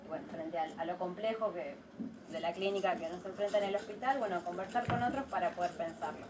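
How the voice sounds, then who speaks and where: Hesitant Argentine woman in noisy room. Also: fairly low pitch. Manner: hesitant, halting. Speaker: event presenter from hospital residency. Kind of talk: informal spoken welcome. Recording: slightly distant mic, noisy room.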